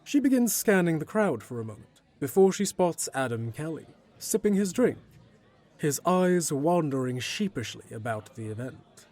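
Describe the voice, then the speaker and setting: A man's voice: a little high, sing-song, moderate pace, a little mellow. A man reading scripted, descriptive narration for an audio drama.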